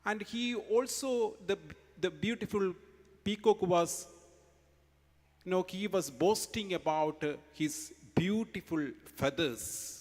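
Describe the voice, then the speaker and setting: A man's voice: sits high, slow pace, a little mellow, big-room sound. A man with an Indian English accent giving a morning assembly speech to students, telling a story emphatically and quizzing them. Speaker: school speaker at an assembly. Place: large school hall.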